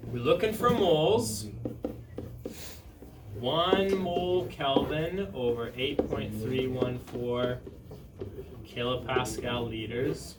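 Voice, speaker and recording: A man's voice: mid-range voice, takes it slowly, long pauses, very noisy. Dry-voiced male teacher heard from across a classroom. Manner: dry, self-described monotone, asks students questions. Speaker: chemistry teacher. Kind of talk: classroom review lesson. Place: high school classroom. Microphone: far camera at the back of the room. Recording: roomy classroom sound from a distance with students around.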